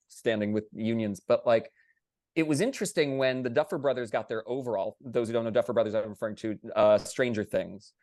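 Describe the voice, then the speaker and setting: A man's voice: mid-range voice, a little mellow, dry recording. A man with a mid-range voice talks on a panel about his field. His delivery is emphatic, often built on rhetorical questions, and the sound is close and dry. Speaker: theater panelist. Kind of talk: panel discussion.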